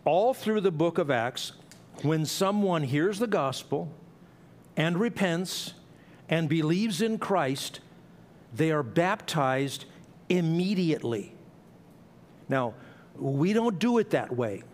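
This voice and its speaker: A man's voice: fairly high pitch, expressive, slow, pauses often. A middle-aged man preaching an expository sermon in a church, explaining a passage in a conversational way with asides and small restarts. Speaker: pastor. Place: church sanctuary.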